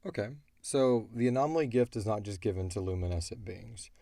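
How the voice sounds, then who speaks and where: Low, mellow man chatting casually. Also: a little fast, gravelly, dry recording. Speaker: co-host and show creator answering listener questions. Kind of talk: casual chat about an audio drama.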